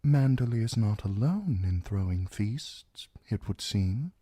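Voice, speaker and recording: A man voicing a cold, soft-spoken older lord who murmurs everything mildly. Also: fairly low pitch, lively intonation, unhurried, slightly rough, no echo, pitched above the performer's own voice. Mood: mild, cool.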